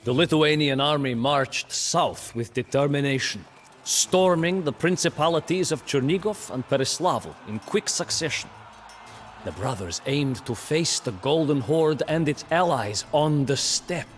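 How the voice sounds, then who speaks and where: A man playing a grave, wry captive chronicler, narrating history in a measured, storytelling way for a game cutscene. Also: on the high side, lively intonation. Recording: long reverb under music. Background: music.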